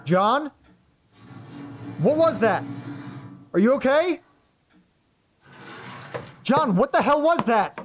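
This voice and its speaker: A man's voice: very high-pitched, sing-song, slow pace, long pauses, soft background music, heavily acted. A man playing an anxious, scatterbrained, polite young man in an audio drama, speaking in a hesitant, rambling way. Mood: alarmed. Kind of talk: naturalistic audio drama acting.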